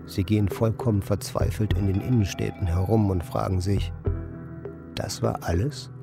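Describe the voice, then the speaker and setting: A man acting in a radio play as an aimless, sardonic loiterer who speaks in a laconic, provocative, deadpan way. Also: fairly low pitch, moderate pace, creaky, over loud music. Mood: brooding. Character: aimless idle man, sardonic, stubborn department-store loiterer. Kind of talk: German radio play dialogue and first-person narration.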